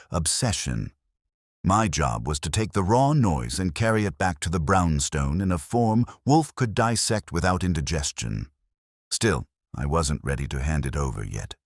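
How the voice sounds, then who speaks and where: Male narrator for hard-boiled detective audiobook: extremely low voice, lively intonation, dry close sound. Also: no room sound. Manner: wry, hard-boiled storytelling. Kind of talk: scripted first-person detective story narration.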